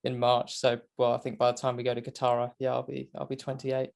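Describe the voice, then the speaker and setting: A man's voice: mid-range voice, completely flat delivery, a little fast, gravelly, a little mellow. British male, twenties, mid-range voice, talkative podcast guest with a casual, self-effacing delivery. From Great Britain. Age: 20s. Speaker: guest, motorcycle racing commentator. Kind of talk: casual chat.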